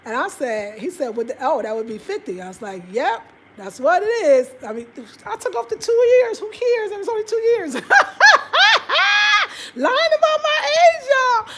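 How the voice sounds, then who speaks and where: An American woman in her fifties chatting casually and expressively to viewers, telling stories in an animated, conversational way. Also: extremely high pitch, normal speed, background noise. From United States. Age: 50s. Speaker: vlogger. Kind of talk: casual livestream chat, storytelling to viewers.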